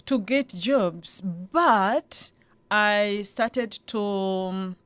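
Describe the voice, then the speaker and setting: A woman's voice: mid-range voice, no echo. A woman from Uganda with a Ugandan accent, a radio interview guest talking animatedly with frequent laughs. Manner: animated, laughs often, quick restarts. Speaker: guest, property investor. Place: radio studio.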